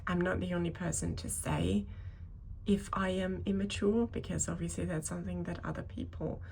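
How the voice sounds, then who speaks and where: A woman's voice: fairly low pitch, steady tone, normal speed, gravelly, a little mellow, slight background noise, low-quality recording. A woman in her thirties giving an unscripted personal vlog monologue, speaking hesitantly and often circling back to correct herself. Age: 30s. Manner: hesitant, rambling, self-correcting. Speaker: video creator talking to viewers.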